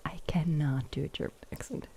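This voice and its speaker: A woman streaming ASMR, chatting casually to viewers in a soft, breathy whisper close to a sensitive microphone. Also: deep voice, fairly even intonation, brisk.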